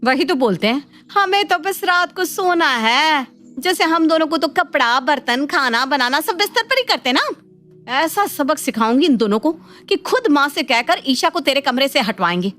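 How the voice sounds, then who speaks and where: A woman's voice: high-pitched, animated, fairly quick. A woman voicing a mocking, vengeful elder daughter-in-law in a cartoon family drama. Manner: mocking, forceful. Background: faint background music.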